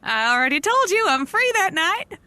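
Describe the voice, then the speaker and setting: A woman's voice: extremely high pitch, big pitch swings, normal speed, pushed and bright, no room sound, heavily acted. An actress playing a nervous, folksy woman with a theatrical streak in a scripted audio comedy. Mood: awkward, evasive. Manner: folksy, playful, theatrical delivery with homespun sayings. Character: nervous folksy woman who doubles as theatrical love-advice radio cowgirl host.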